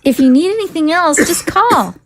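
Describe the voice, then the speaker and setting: A woman voicing a spirited teenage girl. Her voice is high and swings widely, earnest and at times defiant. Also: normal speed, exaggerated voice. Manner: lively, earnest, a bit defiant. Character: spirited teenage daughter, scavenger.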